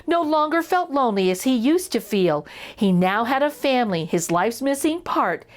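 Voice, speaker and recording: A woman reading a children's picture book aloud expressively, with little asides to young listeners. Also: a little high. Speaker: children's story reader and host.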